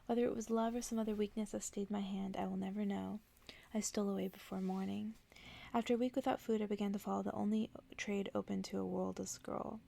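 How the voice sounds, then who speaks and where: A woman reading a bedtime story aloud in ASMR style, soft-spoken and often dropping into a whisper. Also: mid-range voice, steady tone, fast, vocal fry.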